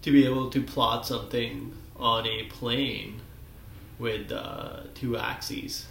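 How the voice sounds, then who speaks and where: A man in his forties with a croaky voice from a cold, talking at a whiteboard in a small office. He speaks haltingly, with many fillers and restarts, and the sound comes from a desk webcam. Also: mid-range voice, steady tone, a bit bright, roomy sound, slight background noise. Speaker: self-taught presenter. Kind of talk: rambling solo talk at a whiteboard.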